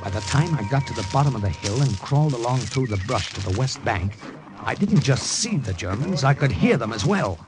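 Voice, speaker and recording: An actor narrating as an American spy in first person, in 1940s radio drama style. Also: male voice, mid-range voice, animated, brisk, music in the background, close to an everyday voice. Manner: tense first-person storytelling.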